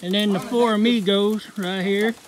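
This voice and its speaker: A man's voice: sits high, fairly even intonation, normal speed, crowd noise. A man on a night hunt outdoors, chatting in an easygoing, joking way. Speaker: hunting companion. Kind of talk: casual chat. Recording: outdoor phone recording with rain noise.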